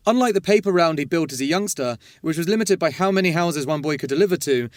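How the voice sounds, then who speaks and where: A man hosting a podcast, reading a polished intro and then asking playful, teasing quick-fire questions. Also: fairly high pitch, no echo, studio quality. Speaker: podcast host. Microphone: studio mic.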